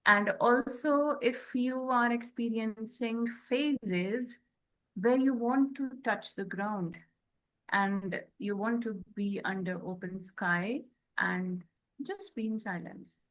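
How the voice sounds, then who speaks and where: Very slow-talking woman, halting phrases. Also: fairly high pitch, a bit bright, rough recording. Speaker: podcast co-host. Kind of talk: conversational spiritual talk.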